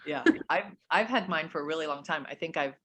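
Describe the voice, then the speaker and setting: A middle-aged woman hosting a book interview over a video call, speaking in a measured way with many fillers and restarts. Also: low-pitched, a bit bright, a little hiss or hum. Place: home room.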